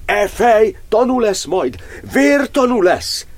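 Embattled cardinal, solemn declamatory verse. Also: male voice, high-pitched, lively intonation. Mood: impassioned. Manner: declamatory, measured verse delivery. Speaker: actor. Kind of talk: dramatic verse monodrama monologue.

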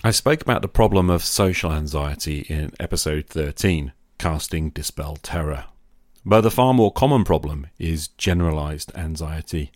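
A middle-aged man hosting a podcast, delivering a reflective scripted monologue in a measured, confessional manner. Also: very deep voice, dry recording, studio quality. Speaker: podcast host.

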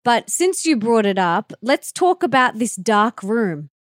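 A woman's voice: fairly high pitch, very animated, a little slow, no room sound, clean studio sound. A woman speaking as a podcast host, with an effusive, enthusiastic way of introducing and interviewing.

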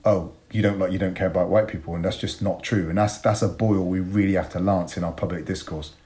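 A man's voice: deep voice, steady tone, quick pace, warm tone. A man in his forties from Bristol with an English accent, speaking as an interview guest in an articulate, emphatic way, making reflective arguments. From Bristol, England. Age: 40s.

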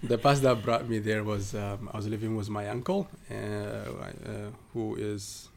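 A man from southern Ethiopia, a guest in a podcast interview, talking casually with many pauses and fillers. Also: lowish voice, steady tone, creaky, a little mellow, a little hiss or hum. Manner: hesitant, many fillers and restarts.

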